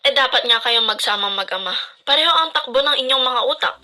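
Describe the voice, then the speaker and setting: A woman's voice: sits high, animated, fast talker. A woman playing a stern, grumpy middle-aged mother in an amateur radio drama, with a Filipino accent. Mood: scolding. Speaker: student radio drama actor.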